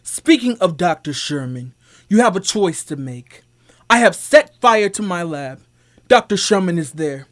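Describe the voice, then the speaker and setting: A man acting a calculating villain scientist from an old-style radio serial, pitched a little high. He speaks slowly and deliberately, with vengeful menace. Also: lively intonation. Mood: taunting.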